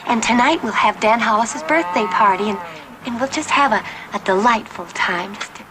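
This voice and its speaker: A woman playing a frightened townswoman forcing sweetness in a 1960s television drama, halting and coaxing with strained pleasantness. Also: on the high side, natural voice. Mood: forced cheer. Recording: noisy old TV soundtrack.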